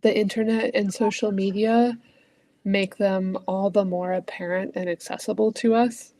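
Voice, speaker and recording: Gravelly-voiced reflective woman minister. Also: mid-range voice, steady tone, moderate pace. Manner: thoughtful, searching, soft trailing phrases. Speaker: Unitarian Universalist minister. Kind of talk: reflective conversational talk.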